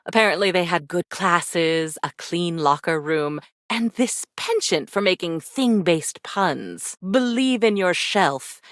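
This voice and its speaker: A woman's voice: lowish voice, animated, slow, hardly any pauses, no echo. A woman voicing a haughty female spirit in a video game, speaking in an arch, theatrical way with dry sarcasm and condescending endearments. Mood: wry, reminiscing. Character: haughty, sardonic female attic spirit of forgotten keepsakes. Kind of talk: scripted video game character acting.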